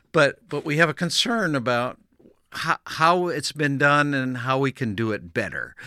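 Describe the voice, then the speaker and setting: A middle-aged man hosts an interview in a bright, forward voice. He spoke German as a first language and grew up in Canada, and he stops and restarts often as he thinks aloud. The sound is dry and close, with no room around it. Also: medium pitch, clean tone, no echo. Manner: halting, with frequent restarts and fillers. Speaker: host. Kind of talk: conversational interview.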